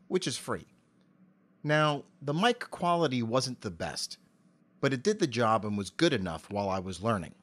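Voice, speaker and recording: A middle-aged man with an articulate, measured delivery, giving prepared interview answers into a close cardioid mic. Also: fairly low pitch, a bit bright. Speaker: interview guest, amateur voice actor. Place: home office. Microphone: cardioid dynamic mic with pop filter, on a stand.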